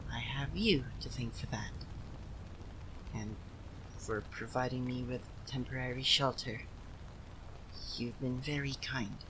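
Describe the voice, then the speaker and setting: A man voicing a proud, easily flustered young cat-eared son of a nature deity in an ASMR roleplay, speaking haltingly to the listener. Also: medium pitch, sharp tone, slight background noise, rough recording. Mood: reluctantly grateful. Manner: haughty yet flustered, halting.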